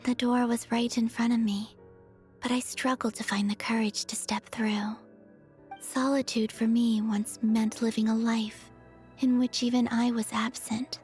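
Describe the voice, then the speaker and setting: A woman voicing a gentle, wistful young moon maiden in a video game cutscene, speaking softly and slightly breathily in measured phrases. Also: fairly high pitch, natural voice. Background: background music.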